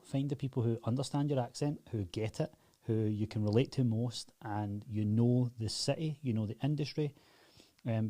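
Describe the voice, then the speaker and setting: Man, Scottish accent, thirties, blunt and emphatic, mellow, dry sound. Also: mid-range voice, dry recording. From Scotland. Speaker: business owner and channel host. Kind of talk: direct-to-camera business talk.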